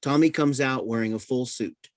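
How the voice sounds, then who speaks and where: A man reading a play's stage directions aloud in a plain, even manner. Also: mid-range voice, normal speed, no echo.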